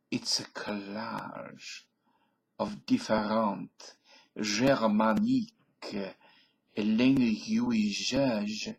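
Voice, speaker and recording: A middle-aged man talking slowly through a rambling solo video, his voice gravelly and a little husky. He is blunt and opinionated and keeps drifting into side remarks. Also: lowish voice, rough recording. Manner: blunt, opinionated, wandering asides. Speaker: vlogger. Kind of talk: rambling casual monologue.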